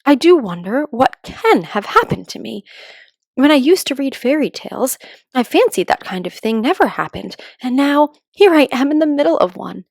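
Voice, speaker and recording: A dreamy, curious little girl from a storybook, played by a woman. Her voice is fairly high and a bit prim as she reasons things out aloud to herself. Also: very animated, normal speed, vocal fry, darkish tone, dry recording, exaggerated voice. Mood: wondering. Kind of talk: children's storybook read-aloud.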